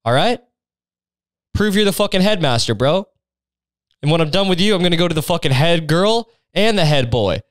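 A man's voice: mid-range voice, moderate pace, no echo, close to an everyday voice. A man playing a brash, crude young male student who swaggers, swears and badgers in a comedy bit. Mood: crude, demanding.

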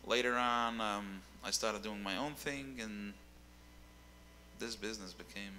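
A young adult man, a singer as guest, talking casually into a handheld mic on a dark stage, often repeating words as he thinks. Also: lowish voice, measured pace, big-room sound, slight background noise. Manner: rambling, repeats words while searching.

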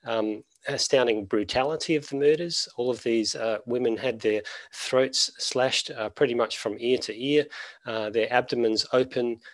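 A man's voice: mid-range voice, normal speed, no echo. A man in his forties giving a conference keynote over a video call on a headset mic, conversational with many fillers and restarts. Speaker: keynote speaker, veterinary professor. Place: small office at home.

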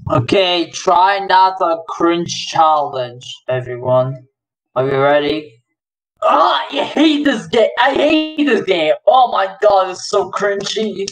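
A woman delivers casual exclamations in a somewhat low voice. Her pace is very unhurried, and she draws out her complaints. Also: expressive, low-quality recording. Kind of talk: casual exclamations and reactions, voice clips.

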